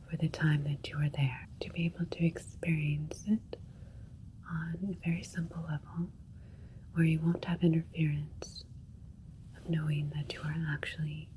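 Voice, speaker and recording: A woman playing a calm, clinical alien doctor in an ASMR sci-fi roleplay, speaking in a gentle, reassuring breathy whisper. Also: low-pitched, close to an everyday voice, poor audio. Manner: slow, gentle, reassuring, formal and procedural. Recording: faint steady spaceship ambience noise. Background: soft alien spaceship ambience.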